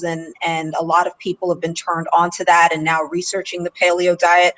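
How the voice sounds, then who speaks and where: Enthusiastic low-voiced woman interviewer. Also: low-quality recording. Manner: enthusiastic, affirming. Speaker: doctor and health blogger, interviewer. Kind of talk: casual interview conversation.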